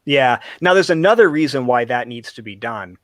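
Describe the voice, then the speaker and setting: A man's voice: mid-range voice, expressive, normal speed, fairly bright tone, no echo, studio quality. A man giving detailed technical explanations in conversation as an expert guest, carefully qualifying his points.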